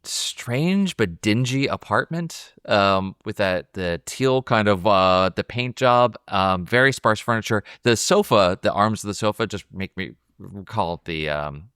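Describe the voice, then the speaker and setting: A man with a very low, clean and resonant voice, recorded dry and close. He co-hosts a casual film talk, describing scenes hesitantly as he feels out his thoughts. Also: animated, unhurried, few pauses, fairly bright tone, clean tone, no echo. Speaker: podcast co-host.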